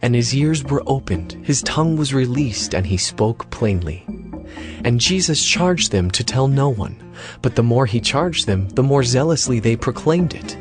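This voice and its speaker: A man's voice: medium pitch, expressive, normal speed. A man narrating scripture from a script in a dramatized audio reading. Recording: music clearly behind the voice.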